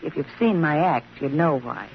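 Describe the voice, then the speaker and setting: An actress playing a weary, protective wife in an old radio drama, coaxing and patient yet guarded. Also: female voice, low voice, moderate pace, soft background music. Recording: muffled, noisy vintage radio recording.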